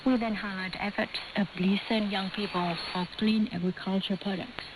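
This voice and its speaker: Through clear shortwave hiss comes a woman news presenter with a Vietnamese accent. She reads a scripted bulletin at a middling pitch. The recording is a noisy radio broadcast. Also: steady tone, normal speed.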